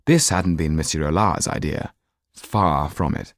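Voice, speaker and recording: Man, audiobook narration, deep, measured, dry studio sound. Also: expressive, no room sound. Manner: measured storytelling. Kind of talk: scripted literary narration.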